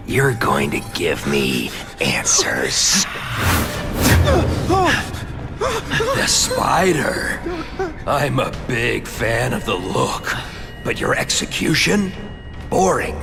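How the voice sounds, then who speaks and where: An actor playing a psychotic male serial killer in a video game cutscene, mocking and menacing, swinging from whispers to shouts. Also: mid-range voice, vocal fry, heavily acted. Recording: game audio with loud score. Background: loud music.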